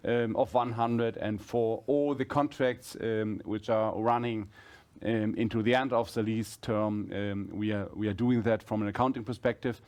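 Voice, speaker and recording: Mężczyzna, menedżer, tłumaczy z wahaniem. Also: male voice, mid-range voice, a little mellow. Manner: halting, rephrasing as he explains. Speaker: company executive answering analysts. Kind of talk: explanatory earnings-call answers.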